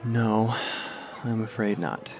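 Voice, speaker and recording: A man's voice: fairly low pitch, steady tone, slow pace. A man playing an earnest, friendly young pony student in a fan radio drama, natural and conversational. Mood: wistful. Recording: noisy, busy crowd ambience.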